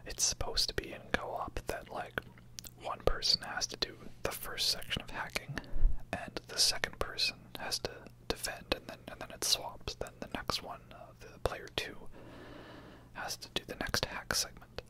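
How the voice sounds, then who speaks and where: A person whispering breathy, casual, rambling commentary over a video game in an ASMR style. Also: moderate pace, rough recording.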